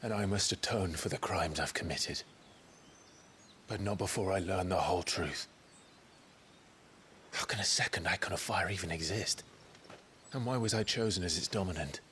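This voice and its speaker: A man playing a grim, remorseful young warrior in a video game cutscene, speaking solemnly and deliberately. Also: low-pitched, sharp tone, a little hiss or hum. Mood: remorseful, resolute.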